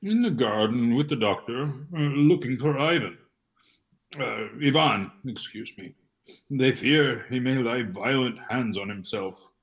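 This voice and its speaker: A man playing a meek, wistful hanger-on in an amateur play reading, in a plaintive, deliberate manner. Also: medium pitch, lively intonation, natural voice. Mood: anxious. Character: meek, wistful male hanger-on in rural Russia.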